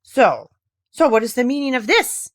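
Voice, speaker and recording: A woman voicing a curt, stern old mountain grandfather in a storybook reading, gruff and commanding. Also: fairly high pitch, very animated, moderate pace, pitched above the performer's own voice. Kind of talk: storybook read-aloud character voice.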